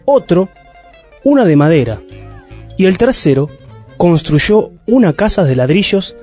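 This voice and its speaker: A man's voice: high voice, sing-song, measured pace, warm tone, breathy edge, faint music behind. A man narrating a scripted story as a storyteller.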